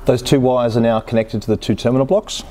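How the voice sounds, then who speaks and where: A man's voice: medium pitch, steady tone, fast, noisy background. A man in his forties giving a how-to demonstration in a plain, practical, step-by-step way. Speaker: host of boat repair channel. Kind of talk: how-to demonstration, explaining steps. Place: workshop garage, indoors.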